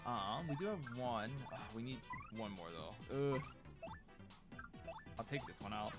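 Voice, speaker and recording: A man's voice: medium pitch, expressive, unhurried. A man whose first language is Cantonese, talking casually while playing a game. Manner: chatty, thinking out loud. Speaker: gaming streamer. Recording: game music clearly behind voice. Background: video game music.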